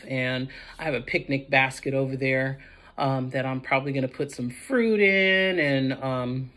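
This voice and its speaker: A woman's voice: a bass voice, fairly bright tone. A middle-aged or older American woman chatting casually to the camera in a rambling, self-correcting way. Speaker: vlogger showing a grocery haul. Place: indoor room at home.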